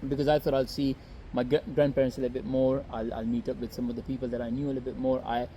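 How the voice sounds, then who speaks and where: A man's voice: mid-range voice, flat delivery, fast talker, dark tone, slight background noise, poor audio. A young man from Pakistan, raised in Saudi Arabia, telling his story in an earnest, rambling way with many fillers and restarts, outdoors on a city street. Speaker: interview guest. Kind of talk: casual outdoor interview.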